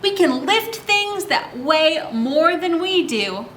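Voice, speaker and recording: A woman's voice: high voice, expressive, slow pace, a bit bright, heavily acted. A woman voicing a band of tiny, boastful, bossy forest creatures in a children's picture book, with proud, emphatic declarations. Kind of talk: picture book read-aloud for young children. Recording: roomy echo, faint background noise.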